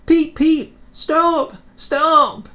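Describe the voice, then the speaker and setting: Cheeky lil tank engine, a man putting it on, squeaky high whistly voice, bossy and fussy. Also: very slow, pushed and bright, full character voice. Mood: frantic.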